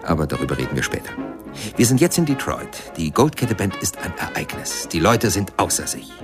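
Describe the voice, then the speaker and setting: A man's voice: deep voice, wildly swooping pitch, a little fast, over music. An actor playing a traveller who narrates his memories in a formal, ornate way in a literary radio play. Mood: dramatic. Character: male narrator, a traveller recalling jazz years.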